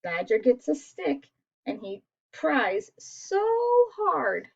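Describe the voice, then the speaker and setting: Female picture-book reader, unhurried and expressive, with a high voice, on a slightly lo-fi recording. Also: gravelly, breathy edge. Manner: expressive, asks listeners questions. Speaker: storyteller. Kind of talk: animated children's picture book read-aloud.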